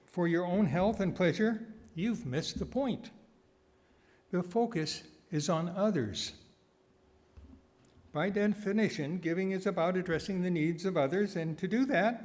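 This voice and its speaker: A man delivering a prepared sermon with wry asides, phrasing deliberately and repeating words for emphasis. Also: high-pitched, darkish tone. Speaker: guest speaker giving a sermon, nonprofit founder.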